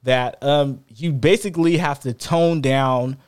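A man co-hosting a casual podcast, talking in an animated, rambling way with many restarts, his voice fairly high. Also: measured pace, smooth. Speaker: podcast co-host.

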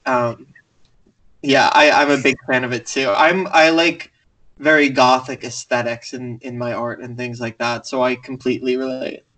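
A man's voice: mid-range voice, level tone, normal speed, bright, forceful tone, low-quality recording. A man hosting a casual podcast interview, friendly and full of fillers. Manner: friendly, filler-heavy, enthusiastic.

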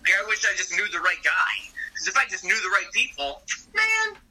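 A man hosting a casual chat, talking in an expressive, animated way. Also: sits high, normal speed, slightly rough, far from the mic, rough recording. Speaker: podcast host.

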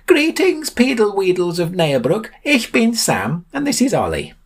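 A man's voice: sits high, wildly swooping pitch, measured pace, slightly rough, pitched above the performer's own voice, exaggerated voice. A man voicing an eccentric, bossy, aloof adventurer with theatrical delivery and oddly mixed dialect words in a story. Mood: pompous.

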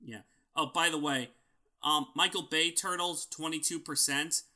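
A man's voice: on the high side, pushed and bright. A man with a nasal voice co-hosting a podcast, chatting casually and arguing his points. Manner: argumentative, quick to disagree. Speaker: podcast co-host. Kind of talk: casual chat.

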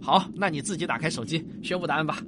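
A man playing a doting, excitable scientist father in a radio drama, stammering in disbelief. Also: on the high side, fast talker, background noise, natural voice. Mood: gentle, fond.

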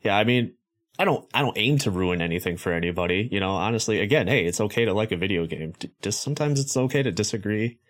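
A man hosting a podcast in a relaxed, joking way, swearing casually. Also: fairly low pitch, fast talker. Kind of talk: casual chat.

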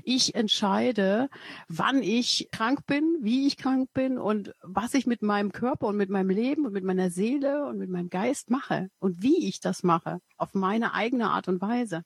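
A woman hosting a podcast interview, speaking slowly and earnestly in long, winding sentences. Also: medium pitch, vocal fry, husky, no echo. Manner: slow, emphatic, speaks in long run-on sentences. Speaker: podcast host. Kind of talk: warm interview conversation.

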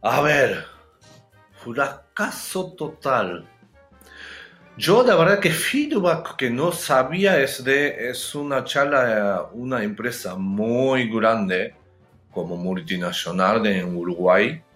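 A Japanese man with a Japanese accent chats as an interview guest in unhurried, halting Spanish, pausing often to find the right word. His voice swings widely up and down as he laughs through his stories. The room is roomy, with faint music underneath. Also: mid-range voice, lively intonation, a bit bright. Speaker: guest speaker. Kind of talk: casual interview chat.

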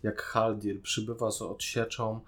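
A man's voice: lowish voice, level tone, measured pace, a bit bright. Male podcast co-host. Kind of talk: casual opinion discussion.

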